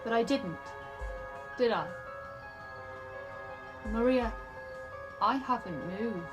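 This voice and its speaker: An actress playing an eerily calm, taunting young woman in an audio drama, with a measured, needling manner. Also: on the high side, dull audio. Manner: measured, cryptic, needling questions. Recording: music behind.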